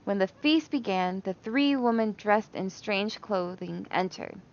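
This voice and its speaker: Female storyteller, expressive bedtime reading for kids, mid-range voice, muffled recording. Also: medium pitch, measured pace. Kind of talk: expressive bedtime story read aloud for children.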